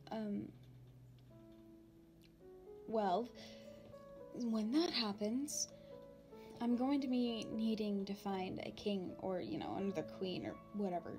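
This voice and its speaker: Woman as a slow, hesitant demon princess. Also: medium pitch. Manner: hesitant, halting, informal with long pauses. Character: shy, excited young demon princess girl. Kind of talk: ASMR roleplay addressed to the listener. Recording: background music.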